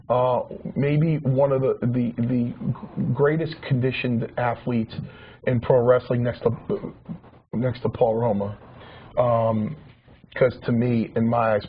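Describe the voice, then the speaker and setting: A man in his forties reminiscing in a casual talk show chat, rambling with many restarts and repeated words. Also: medium pitch. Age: 40s. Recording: dull lo-fi sound with faint hiss.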